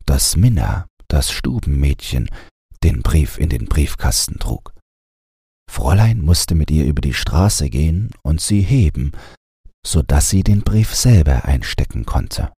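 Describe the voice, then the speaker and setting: A man reading a scripted bedtime audiobook in a calm storytelling style. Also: very deep voice, measured pace, husky, no room sound, clean studio sound. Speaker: audiobook narrator.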